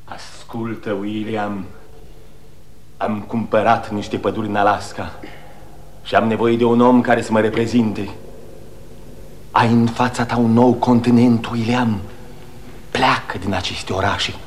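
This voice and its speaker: An actor playing a bold, rich adventurer brother as a remembered apparition in a radio drama, exhorting and declamatory. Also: male voice, on the low side, flat delivery, pauses often, close to an everyday voice. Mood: grand, urging. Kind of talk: 1960 Romanian radio theatre acting. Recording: faintly noisy old radio recording.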